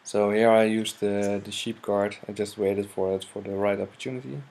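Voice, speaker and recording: A young man from the Netherlands with a Dutch accent, hesitantly commenting on his own card game match in halting English. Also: fairly low pitch, level tone, a little mellow. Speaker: gaming channel host. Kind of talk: gameplay commentary. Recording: noisy home mic recording.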